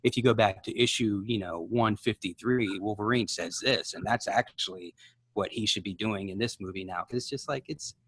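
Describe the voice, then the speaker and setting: A man co-hosting a podcast, talking casually in a measured, explanatory way with dry quips. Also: fairly low pitch, a bit bright, slightly rough, no echo, poor audio. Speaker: podcast co-host. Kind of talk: casual conversational chat.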